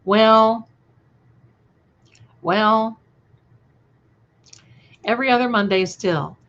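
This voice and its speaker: A woman's voice: a little high, very slow, a bit bright. Lady doing a livestream chat, takes her time, sweet gushy pet names, kinda scattered, muffled lo-fi sound. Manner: gushing, affectionate terms of endearment, scattered and conversational. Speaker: livestream host and channel creator. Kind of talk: casual livestream chat, reading and answering viewer comments. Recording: dull, lo-fi livestream audio.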